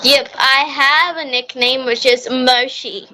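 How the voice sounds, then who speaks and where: High, bright young Bangladeshi girl's voice. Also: steady tone, takes it slowly. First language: Bengali. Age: child, about 11 to 13. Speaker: host of English practice channel. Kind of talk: conversational English practice.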